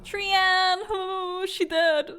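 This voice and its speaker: A woman's voice: very high-pitched, little pitch movement, drawn-out words, bright, forceful tone. A woman streamer giving casual live reaction commentary on a game. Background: faint game music.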